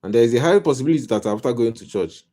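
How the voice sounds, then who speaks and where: A man's voice: medium pitch, lively intonation, racing, warm tone. A man with a Nigerian accent giving a casual live-stream monologue as a life coach, rambling and repeating himself with wry humor. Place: home.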